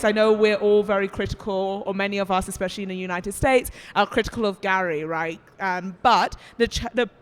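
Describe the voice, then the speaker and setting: A woman's voice: mid-range voice, a little hiss or hum. A woman with a Barbadian accent arguing a point on a conference panel, earnest and emphatic. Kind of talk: panel debate answer. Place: large conference hall. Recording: echoey conference hall, faint crowd.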